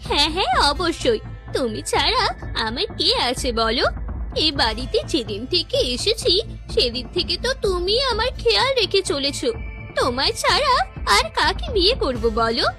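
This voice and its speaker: A scheming young village wife from a cartoon, acted by a woman, with an extremely high, bright voice. She coaxes and complains coyly, swinging up and down with lively pitch. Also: normal speed, faint music behind, full character voice. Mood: scheming, urgent. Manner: coaxing, complaining. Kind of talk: animated cartoon story dialogue.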